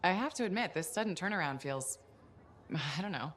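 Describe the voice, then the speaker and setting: An actress playing a level-headed, loyal team leader in a video game, speaking in a direct, dry, reassuring way. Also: female voice, lowish voice, lively intonation, a little fast, a bit bright, a little hiss or hum. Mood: dry, curious. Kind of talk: video game cutscene acting.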